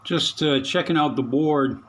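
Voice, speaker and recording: A middle-aged man casually narrating his radio repair in a small spare room at home, halting with fillers and soft chuckles. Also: on the high side. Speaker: radio hobbyist vlogger.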